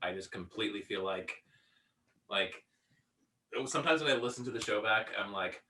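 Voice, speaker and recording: A man's voice: lowish voice, normal speed, bright, forceful tone, a little hiss or hum. A man chatting casually as an interview guest, enthusiastic and self-deprecating. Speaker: audio drama actor and sound editor, guest. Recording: slightly distant from the mic.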